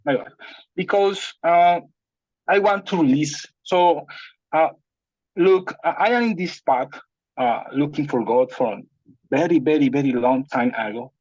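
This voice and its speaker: A man with a Spanish accent, whose first language is Spanish, talks very slowly and haltingly, with many restarts as he searches for English words. His voice sits a little high and comes through a dull, muffled, dry call connection. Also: darkish tone, no echo. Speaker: participant sharing a personal problem.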